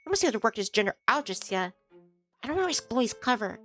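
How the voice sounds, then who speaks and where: A woman's voice: a little high, big pitch swings, quick pace, fairly bright tone. A woman streamer casually narrating a visual novel as she reads it aloud. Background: music.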